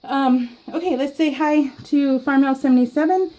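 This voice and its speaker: A woman chatting casually to her viewers while she scrapbooks, giggling often and filling pauses with ums and restarts. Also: high-pitched, dark tone, poor audio. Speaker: scrapbooking YouTuber. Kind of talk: casual chat while crafting.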